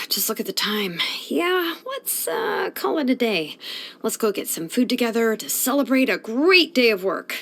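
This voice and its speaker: Woman doing a chill sleepy blacksmith girl from a fantasy game, unhurried drawl, pitch swings around a lot, medium height voice. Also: close to an everyday voice. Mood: drowsy, easygoing. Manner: unhurried, casual, hesitant drawl with sighs. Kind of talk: English video game voice acting.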